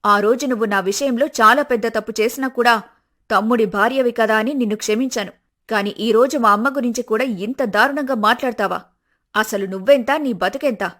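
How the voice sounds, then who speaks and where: A woman's voice: fairly high pitch, brisk. A woman voicing a fiery grown daughter. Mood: furious. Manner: sharp, scolding. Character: fiery grown daughter defending her mother.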